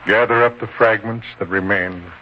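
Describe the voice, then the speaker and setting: An actor playing a kindly, authoritative older disciple in an old-time radio drama, speaking solemnly and deliberately. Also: male voice, on the low side, steady tone, lightly acted. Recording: dull, noisy old-time radio recording.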